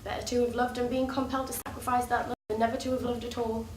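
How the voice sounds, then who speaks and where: Actress as a high-voiced devoted lover, noisy echo. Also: female voice, little pitch movement, close to an everyday voice. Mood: earnest, self-sacrificing. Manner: earnest, deliberate, measured phrasing. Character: devoted, pleading woman in love, self-sacrificing dreamer. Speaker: stage actor. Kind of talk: theatrical stage drama dialogue. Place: theatre stage, distant microphone. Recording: echoey theatre recording, distant, clearly noisy.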